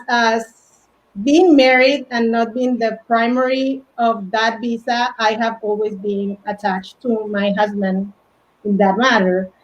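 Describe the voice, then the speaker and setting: A woman from Colombia in her forties with a Colombian Spanish accent, talking haltingly with many fillers as an interview guest. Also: a little high. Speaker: guest, fashion stylist. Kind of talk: casual interview chat. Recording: dull lo-fi audio.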